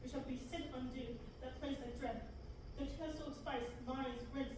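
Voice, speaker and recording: A fussy, anxious robot servant, read by a young woman in a high voice, fretting through old-fashioned verse. Heard from far off across an echoey classroom, with hiss behind. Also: fairly even intonation, moderate pace, lightly acted. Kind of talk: student table reading in Elizabethan verse. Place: large classroom, reading from a script. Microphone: far camera.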